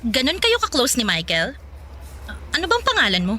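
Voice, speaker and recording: An actress playing a blunt, protective best friend with a Filipino accent, pointed and inquisitive, in a radio drama. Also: female voice, high-pitched, huge pitch swings, normal speed, pushed and bright, a little hiss or hum, heavily acted. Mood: suspicious.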